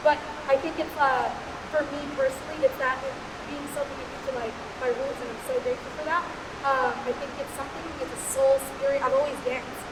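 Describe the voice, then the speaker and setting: A woman's voice: high voice, fairly quick. A young woman from Los Angeles on a dance panel, answering candidly in loose, rambling talk full of fillers in front of a live audience. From Los Angeles, USA. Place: room before a live audience. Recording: echoey room with steady hiss.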